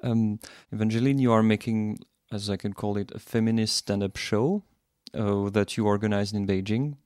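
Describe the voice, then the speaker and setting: Flat-voiced man hosting a podcast. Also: fairly low pitch, normal speed, clean tone, dry recording, clean studio sound. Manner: long, winding questions. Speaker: podcast host. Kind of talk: interview questions. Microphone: podcast microphone.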